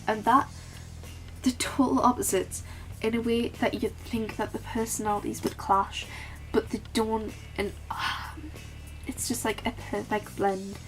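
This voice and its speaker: A teenage girl chats about her favourite books in a relaxed vlog. Her voice sits a little high and stays calm and fairly flat, with a slightly awkward, low-key delivery. Soft music plays faintly underneath. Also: poor audio. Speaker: book vlogger. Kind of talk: casual book tag vlog.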